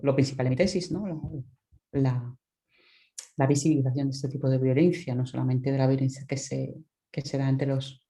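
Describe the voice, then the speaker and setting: A woman's voice: rumbling low, steady tone, moderate pace, warm tone, soft background music. A woman from the Dominican Republic presenting her research as an academic talk over a video call, thoughtful, with many restarts and tag questions. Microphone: video call microphone.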